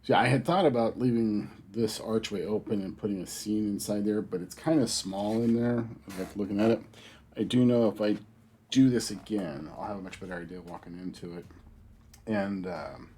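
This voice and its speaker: A man's voice: fairly low pitch, moderate pace, slight background noise. A middle-aged man with a cold, sounding stuffy, chatting casually to his livestream viewers while he builds a model. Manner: relaxed, chatty, thinking aloud while crafting. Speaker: hobby livestream host. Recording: faint background music.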